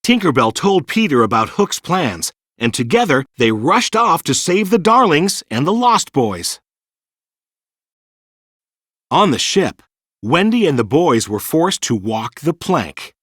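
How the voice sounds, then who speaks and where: A man reading a children's storybook in an animated, dramatic storytelling manner. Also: on the high side, unhurried, breathy edge, dry recording, studio quality. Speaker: storybook narrator. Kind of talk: scripted children's storybook narration.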